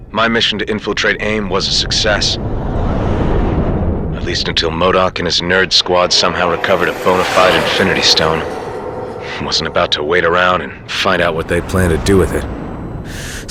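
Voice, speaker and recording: A gruff soldier hero from a video game, played by a man, low-voiced and dryly witty. He reports tersely over loud vehicle noise. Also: steady tone, normal speed, close to an everyday voice. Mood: wry, urgent. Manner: terse, wry, matter-of-fact. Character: gruff, dry-witted male soldier hero on a radio call. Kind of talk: video game cutscene acting.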